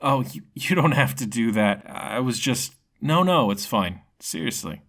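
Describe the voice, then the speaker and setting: A man voicing a kind, modest demon swordsman hero in a fantasy story, earnest and halting. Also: mid-range voice, lively intonation, fairly bright tone. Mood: sheepish.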